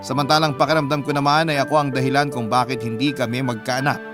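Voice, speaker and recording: An actor playing an earnest husband with a Filipino accent, telling his sorrowful story in a measured, confiding way for a radio drama. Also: mid-range voice, flat delivery, over loud music, natural voice. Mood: sad, reflective. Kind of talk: radio drama letter narration.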